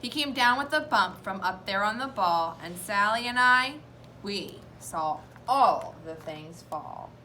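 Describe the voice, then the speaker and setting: A woman's voice: medium pitch, expressive, measured pace, sharp tone, slight background noise. A woman reading a children's storybook aloud expressively, with playful asides to her co-reader.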